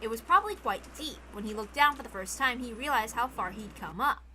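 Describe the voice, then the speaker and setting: A woman's voice: fairly high pitch, expressive, fairly quick, pushed and bright, a little hiss or hum. A woman narrating a visual novel as a dramatic scripted reading.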